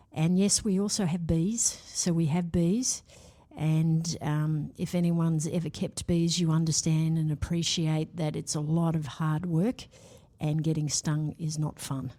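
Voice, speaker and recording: A woman, a charity chief, answering podcast questions in a measured, self-assured way with small restarts. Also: low-pitched, dark tone. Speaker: CEO of a health charity, guest.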